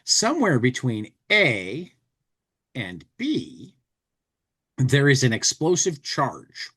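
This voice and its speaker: A man's voice: mid-range voice, huge pitch swings, slow, fairly bright tone, husky. A man hosting a podcast, casually commenting on a humor magazine and reading parts of it aloud dramatically. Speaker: podcast host.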